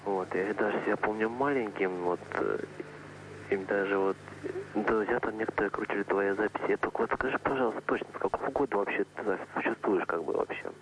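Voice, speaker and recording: A man calling in to a radio show, asking questions haltingly with many fillers. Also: lowish voice, noisy background. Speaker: caller. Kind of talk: phone-in question.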